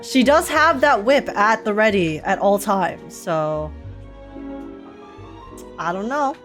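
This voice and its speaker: A woman's voice: mid-range voice, expressive, slow, a bit bright, faint music behind. A woman reacting live to a story game, casual and playful, exclaiming and poking fun at herself. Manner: playful, self-deprecating, exclaiming.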